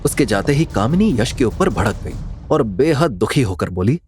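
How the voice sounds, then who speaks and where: Expressive male story narrator. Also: medium pitch, normal speed, soft background music. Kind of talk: dramatic scripted story narration.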